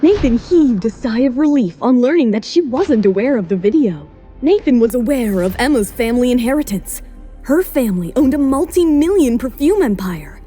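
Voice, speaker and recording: A woman's voice: fairly high pitch, expressive, moderate pace, mellow, soft background music. A woman narrating a scripted romance drama as its storyteller.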